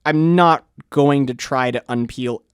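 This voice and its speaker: A man co-hosting a music review show in a college radio studio, speaking at a middle pitch for a man. He rambles, restarts his sentences and swears offhandedly. The sound is close and dry, with no room echo. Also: unhurried, clear, resonant tone. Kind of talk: casual music review chat.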